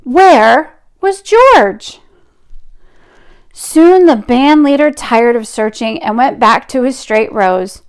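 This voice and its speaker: High-pitched, very animated woman reading slowly. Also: clean tone. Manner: expressive, drawn-out emphasis. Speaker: storytelling teacher reading a children's book. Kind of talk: animated picture-book read-aloud with asides to children.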